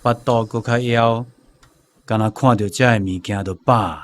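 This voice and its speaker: An actor with a Taiwanese accent playing a worn, sickly coal miner father, gruff but caring, halting and plain-spoken. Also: lowish voice, steady tone, close to an everyday voice. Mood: weary, sheepish.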